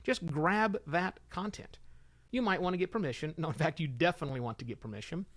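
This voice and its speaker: Middle-aged guy doing a solo podcast advice thing, kinda high voice, animated and punchy, chatty asides. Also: fairly quick. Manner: animated, emphatic delivery with conversational asides. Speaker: podcast host and consultant. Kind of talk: enthusiastic solo monologue, informal advice.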